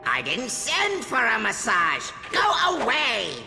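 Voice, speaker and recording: A man's voice: extremely high pitch, wildly swooping pitch, takes it slowly, creaky, bright, forceful tone, reverberant, faint music behind, heavily acted. An actor playing a theatrical, gloating male robot supervillain in a video game cutscene. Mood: manic. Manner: shrieking, gloating, melodramatic.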